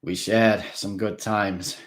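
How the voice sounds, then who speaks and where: A man reading aloud, voicing a stern old male vampire who speaks in a formal, grave, measured way. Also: fairly low pitch, flat delivery, a bit bright. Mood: fond farewell.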